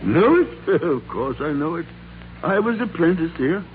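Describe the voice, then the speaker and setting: An actor playing an anxious old miser in a 1940s radio drama, flustered and stammering, softening with regret. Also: male voice, fairly high pitch, sing-song, a little slow, slight background noise. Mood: wistful, excited. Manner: flustered, stammering, repeating words. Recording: tinny 1940s radio recording.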